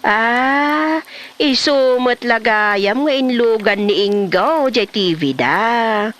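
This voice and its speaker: A woman's voice: a little high, slow pace, fairly bright tone. An actress playing a middle-aged, puzzled wife and mother in an Ilocano comedy drama, with an Ilocano accent. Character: puzzled, questioning wife and mother of a grown son.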